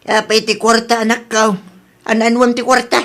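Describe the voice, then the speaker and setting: A man's voice: very high-pitched, completely flat delivery, normal speed, a bit bright. A man with an Ilocano accent playing a grudging older father with little money, in a comedy radio drama.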